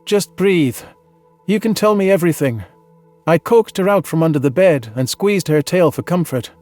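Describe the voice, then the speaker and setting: Higher-voiced man narrating, dry close sound. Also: lively intonation, moderate pace, darkish tone, no echo, soft background music. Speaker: audiobook narrator. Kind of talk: scripted first-person science fiction narration, dramatized.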